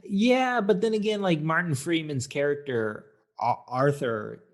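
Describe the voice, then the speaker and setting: A man's voice: on the high side, unhurried. A man co-hosting a movie review podcast, talking in a casual, opinionated way. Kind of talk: casual film discussion.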